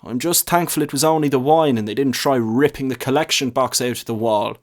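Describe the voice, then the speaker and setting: A man's voice: medium pitch, voice pushed up, natural voice. Man acting a world-weary, stern parish priest. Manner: measured, solemn, admonishing. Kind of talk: short story character reading.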